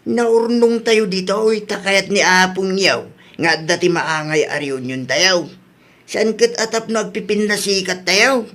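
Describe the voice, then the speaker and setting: Grumbling family father played by a man, high voice, Ilocano accent, blunt, complaining radio-drama delivery. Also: fairly even intonation, unhurried, pushed and bright. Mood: irked.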